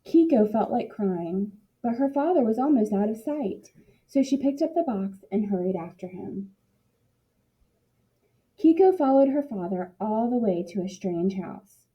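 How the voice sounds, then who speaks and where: A woman with a fairly high voice reads a children's picture book aloud as a teacher would. Her narration is expressive. The recording is slightly muffled and lo-fi. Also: a little slow.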